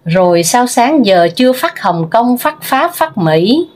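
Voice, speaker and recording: A woman's voice: a little high, sing-song, slow pace, a little mellow, heavily acted. A woman voicing a nagging male boss. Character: fussy middle-aged male office boss.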